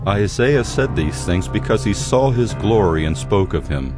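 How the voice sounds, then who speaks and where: A man narrating a Bible audio drama in a measured, solemn storytelling style. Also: lowish voice, lively intonation. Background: music.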